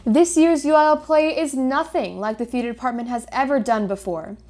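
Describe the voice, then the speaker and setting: A woman's voice: sits high, a little fast, a little mellow. A young woman reporter reading a scripted news narration.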